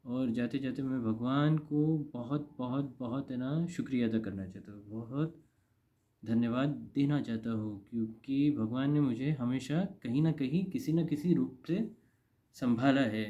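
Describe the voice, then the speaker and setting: Indian male vlogger, thirties, very dark relaxed tone, repetitive confessional delivery, muffled low-fidelity recording. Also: normal speed. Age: 30s. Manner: rambling, repeats phrases for emphasis. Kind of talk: casual confessional monologue to camera. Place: indoor room. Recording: dull, lo-fi.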